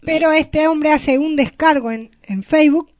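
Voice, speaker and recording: A woman's voice: high voice, unhurried, a little mellow, dry recording, clean studio sound. A woman with an Argentine accent chatting casually as a radio co-host, explaining things in an irreverent way. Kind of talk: casual chat.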